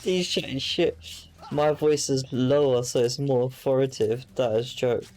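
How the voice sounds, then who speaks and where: A man's voice: mid-range voice, fairly even intonation, normal speed, darkish tone. A young man, playfully muttering reactions over his game. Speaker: gamer. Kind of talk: let's play commentary.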